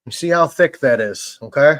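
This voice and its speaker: A man hosting a casual live stream about fishkeeping, talking in a relaxed, rambling way with many fillers. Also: medium pitch, normal speed. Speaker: host.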